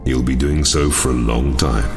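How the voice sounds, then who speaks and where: A man playing a stern, menacing angel guard in an audio drama, commanding and gravelly. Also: a bass voice, steady tone, music in the background. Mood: stern warning. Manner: slow, commanding.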